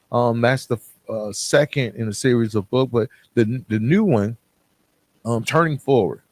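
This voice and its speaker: A man's voice: mid-range voice, darkish tone. A middle-aged man from Atlanta, hosting a motivational podcast and speaking earnestly and conversationally straight to the listener. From Atlanta, United States. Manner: earnest, conversational, with rhetorical questions and check-ins. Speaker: podcast host. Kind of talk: motivational talk addressed to the listener.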